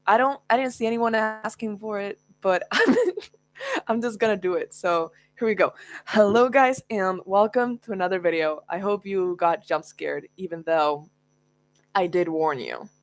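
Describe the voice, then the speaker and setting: A woman's voice: medium pitch, normal speed. A young woman whose first language is Spanish, chatting casually and laughing often as she answers viewer questions. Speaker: book youtuber. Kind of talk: casual Q&A livestream chat.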